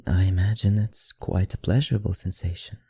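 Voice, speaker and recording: A performer plays a low, gravelly vampire librarian in an ASMR roleplay, seductive and teasing. The voice is very breathy and often sinks into a whisper, with a put-on foreign accent. The phrasing is slow, soothing and courtly. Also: fairly even intonation, dark tone, heavily acted. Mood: hypnotic, soothing.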